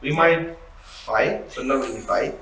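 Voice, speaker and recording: A man chats as a band guest, his bright voice rambling loosely. He sits away from the mic in a roomy space with faint noise behind. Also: mid-range voice, unhurried, far from the mic. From Puerto Rico and Chile. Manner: loose, rambling, laughs along. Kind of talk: casual interview chat.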